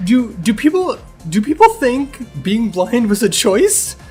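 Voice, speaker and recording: A man's voice: very high-pitched, sing-song, slow, darkish tone, soft background music, heavily acted. A man voicing a snarky, exasperated blind medicine cat in an improvised fan Q&A, rambling sarcastically and swearing. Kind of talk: improvised character Q&A in casual chat.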